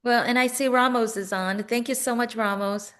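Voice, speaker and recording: Casting note: woman webinar host on a video call, bit high, welcoming. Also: fairly even intonation, moderate pace. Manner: warm, welcoming, thanks each guest. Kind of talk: casual video call greetings.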